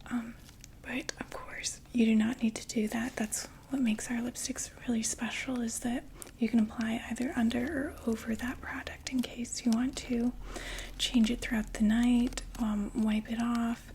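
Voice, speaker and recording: A young woman voicing a chatty undead vampire makeup saleswoman in an ASMR roleplay, talking in soft whispered sales patter with fillers. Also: fairly high pitch, a little hiss or hum.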